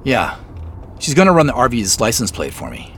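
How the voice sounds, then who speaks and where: An inquisitive amateur sleuth in an audio drama, easygoing and a little sheepish. His mid-pitched delivery swings up and down in lively fashion, with hesitant, conversational understatement. Faint vehicle noise runs behind him. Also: moderate pace. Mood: puzzled, earnest. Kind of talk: naturalistic audio drama dialogue.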